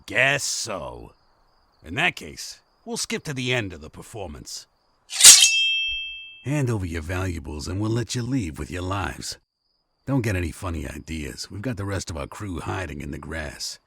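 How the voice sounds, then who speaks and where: Man as scheming bandit leader, theatrical, forceful, gravelly, fantasy visual novel. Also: low-pitched, sing-song, normal speed, no echo, exaggerated voice. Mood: menacing, smug. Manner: theatrical, pleading then threatening. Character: scheming bandit ringleader posing as frantic merchant.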